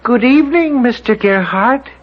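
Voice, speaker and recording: A man's voice: extremely high pitch, expressive, normal speed. A man playing the ghost of a friendly little grocer in a 1970s radio drama, mild, wistful and politely insistent. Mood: mild, hurt. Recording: dull, noisy old radio recording.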